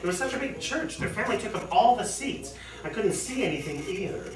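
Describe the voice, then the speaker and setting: Man playing a grumbling husband, distant and animated. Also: high-pitched, fairly quick. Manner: complaining, exasperated, sarcastic. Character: disgruntled husband and garage boss, sulky wedding guest. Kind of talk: amateur one-act stage play acting. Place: indoor theatre studio, heard from afar. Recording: roomy distant stage recording, faint noise.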